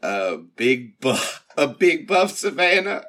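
A man's voice: fairly high pitch, sing-song, takes it slowly, fairly bright tone. A man in casual chat about a tabletop game, dropping dry, offhand remarks. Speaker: podcast cast member.

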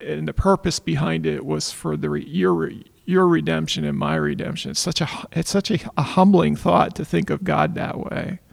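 A man with a raspy, heavily gravelly voice talks through faith topics as a podcast co-host. He sits a little high for a man and keeps restarting his sentences as he searches for words. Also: moderate pace, hardly any pauses. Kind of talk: casual faith discussion.

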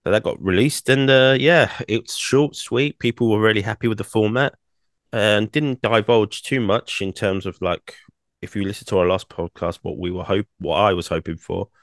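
Deep-voiced man with a croaky throat. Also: normal speed, dry recording, studio quality. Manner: rambling, many fillers and restarts. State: croaky from singing. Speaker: podcast co-host. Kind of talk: casual podcast chat.

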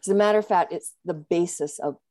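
A woman in casual conversation, recalling her experiences in a reflective, measured way. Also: lowish voice, dark tone, no echo.

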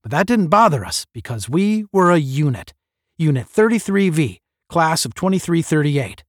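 A man voicing a seasoned male space officer who speaks forcefully and with pride to young cadets. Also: on the high side, sing-song, moderate pace, dry recording, studio quality, voice pushed up. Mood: earnest, proud. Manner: forceful, proud, instructive.

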